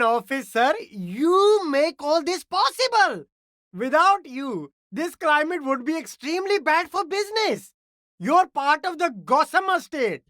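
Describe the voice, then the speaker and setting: An actor voicing a cheerful, slick and eager immigrant street vendor in a video game, with flattering, laid-back sales patter. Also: high-pitched, no room sound, clean studio sound, full character voice. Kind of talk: video game character dialogue.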